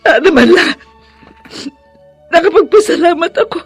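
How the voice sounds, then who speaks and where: Widowed mother, tender, breathy, dry close sound, faint music, emotional radio drama. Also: very high voice, expressive, no echo. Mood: tearful, regretful. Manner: halting, emotional, confiding. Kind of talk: Tagalog radio drama acting.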